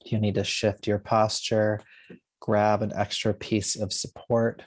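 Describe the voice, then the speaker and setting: A man leading a guided meditation as a yoga nidra teacher, speaking gently and soothingly in spaced, unhurried phrases. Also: lowish voice, flat delivery.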